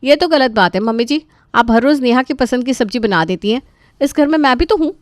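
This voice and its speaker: A woman's voice: sits high, expressive, brisk, no echo, clean studio sound, voice pushed up. A woman voicing a defiant, demanding daughter-in-law in a cartoon story, sarcastic and argumentative. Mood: complaining. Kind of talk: animated moral story dialogue.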